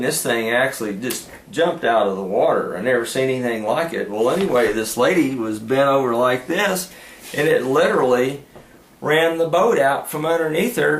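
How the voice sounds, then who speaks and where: A man's voice: mid-range voice, lively intonation, moderate pace, a little hiss or hum. A man telling fishing stories in a casual, rambling, folksy way, often trailing into "anyway" and "uh". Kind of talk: casual storytelling. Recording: echoey room with faint ambience.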